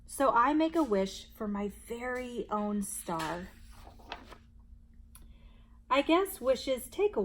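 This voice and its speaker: Woman, slow pace, mid-range pitch, expressive storybook read-aloud, children's story host.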